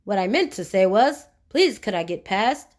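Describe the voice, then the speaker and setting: A woman's voice: mid-range voice, very animated, normal speed, natural voice. A woman voicing an uppity rich man from a children's storybook, with emphatic, clipped delivery. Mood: flustered, apologetic. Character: uppity rich man, rude then learning manners.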